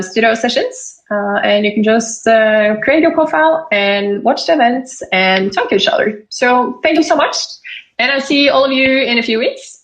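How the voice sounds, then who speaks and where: A woman's voice: fairly high pitch, gravelly, fairly bright tone, rough recording. Friendly woman moderating a talk. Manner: friendly, sums up and moves the talk along. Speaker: host and moderator. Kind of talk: casual conversational interview.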